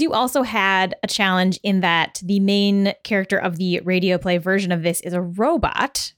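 Female interviewer, medium pitch, casual, chatty and keen, hosting a conversation with guests. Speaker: host, interviewer.

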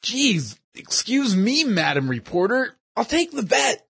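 A man's voice: a little high, huge pitch swings, normal speed, slightly rough, no echo, clean studio sound, heavily acted. A man voicing a sarcastic schoolboy who is the new kid at school.

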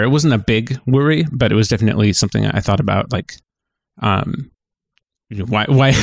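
A man co-hosting a podcast in casual discussion, talking in a halting, reflective way and circling back on his phrases. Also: mid-range voice, no room sound, clean studio sound. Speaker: podcast co-host.

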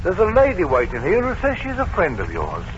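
An actor playing a plain-spoken, helpful doorkeeper in an old radio drama, informal and blunt. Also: male voice, high-pitched, huge pitch swings, brisk. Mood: matter-of-fact. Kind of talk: 1940s radio drama acting. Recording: muffled old radio, faint noise.

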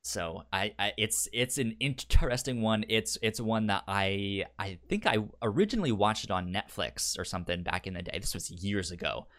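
A podcast co-host talks in a loose, casual way with a very lively, varied pitch. The speech stammers often, repeating syllables and words while recapping and pitching shows to a partner. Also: moderate pace, few pauses.

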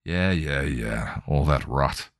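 A crude, cocky male vampire, sneering and slangy, with a very deep voice, as a man reads him out. The sound is close and dry, with no room around it. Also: animated, slow pace, dry recording, heavily acted. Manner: cocky, slangy, rough-edged. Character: coarse sneering male vampire with a demon inside.

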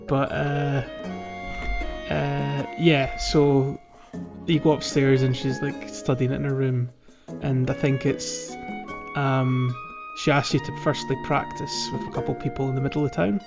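A man's voice: medium pitch, little pitch movement, a little slow, creaky, over music. A man co-hosting a casual video game podcast, chatting informally. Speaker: podcast co-host. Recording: slightly dull lo-fi podcast audio.

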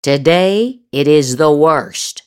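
A woman's voice: low-pitched, animated, takes it slowly, clean tone. A woman host reading social media posts aloud in a theatrical, emphatic way, drawing out her words. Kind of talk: dramatic verbal replay of written posts. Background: music.

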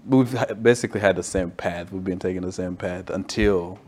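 A man in his twenties who comes from Tanzania talks as a guest in a casual interview. His voice sits a little low, and he tells things conversationally, often checking in with short tags. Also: fairly quick, a little mellow, a little hiss or hum. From Tanzania, moved to the US at ten. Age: 20s. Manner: frequent "you know" and "right?" tags. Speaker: interview guest, staff accountant.

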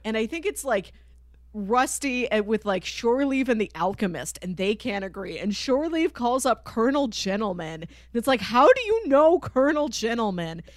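A woman's voice: fairly high pitch, very animated, moderate pace, dry recording, studio quality. A woman talking casually and enthusiastically as a podcast co-host discussing a TV show she loves.

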